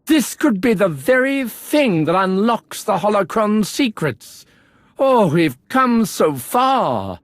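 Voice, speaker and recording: A man's voice: high voice, very animated, slow pace, dry recording, clean studio sound, exaggerated voice. A man playing a fussy, bookish archaeologist full of wonder in a video game, with precise, theatrical delivery. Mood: eager, musing.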